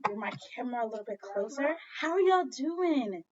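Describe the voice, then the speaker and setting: A woman with a high voice reads to young children as their teacher, her intonation swinging widely. She pauses to ask questions and repeat things for the class. The audio is slightly dull and lo-fi, like a home video. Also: slight background noise. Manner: addresses young children directly, with prompting questions and repetition; expressive storybook reading. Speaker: second grade teacher. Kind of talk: children's read-aloud lesson.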